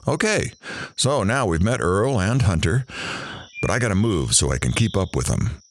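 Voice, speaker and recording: A man's voice: on the low side, big pitch swings, normal speed, slight background noise. A man voicing an impatient, bored onlooker who grumbles sardonic asides in an audio drama. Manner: sardonic, complaining.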